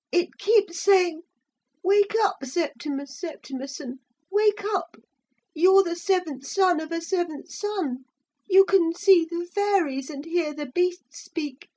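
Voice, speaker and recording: A woman voicing a timid, frightened little boy in a storybook reading, with a high, tearful voice. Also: darkish tone, rough recording.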